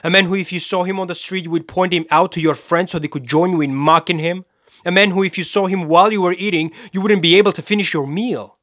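An actor playing a cold, self-righteous serial killer in a dramatic film monologue, speaking deliberately and with menace, pausing for effect. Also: male voice, mid-range voice, a bit bright, no echo, studio quality, natural voice. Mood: indignant, contemptuous. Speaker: actor performing a movie monologue.

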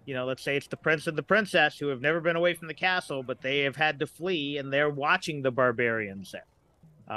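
A man's voice: medium pitch, pushed and bright. A middle-aged man with an American accent talking casually as a podcast guest, thinking aloud in a rambling way.

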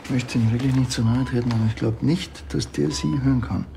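An actor playing a dry, unimpressed middle-aged policeman in a TV crime comedy, deadpan. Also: medium pitch, quick pace, vocal fry, a little hiss or hum, close to an everyday voice.

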